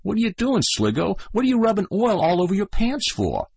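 A man voicing a naive, slow-witted young American soldier in a read-aloud story. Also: sits high, wildly swooping pitch, creaky, a little mellow, breathy edge, dry recording, pitched above the performer's own voice, full character voice. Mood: puzzled. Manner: plain, slow-witted, wondering. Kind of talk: audiobook reading.

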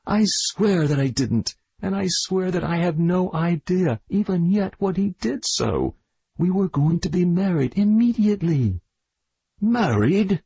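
A woman's voice: on the low side, animated, measured pace, dark tone, breathy edge, dry recording, voice pushed up, exaggerated voice. A man voicing a frightened, coquettish young maid who pleads and trembles in a story reading. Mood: anguished.

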